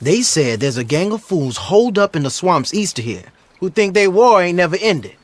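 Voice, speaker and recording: An actor playing an eager young outlaw in a Western game cutscene. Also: male voice, sits high, very animated, normal speed, dry recording. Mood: keen, persuasive. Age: young adult. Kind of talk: video game cutscene acting.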